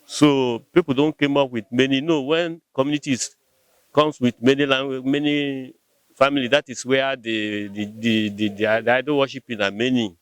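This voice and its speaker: A man's voice: medium pitch, fairly even intonation, moderate pace, clear, resonant tone, no echo. Nigerian male interviewee, patient and hesitant, dry recording. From Nigeria, Rivers State. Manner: hesitant, repeats words, explains patiently. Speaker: local community member interviewed. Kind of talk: conversational answers.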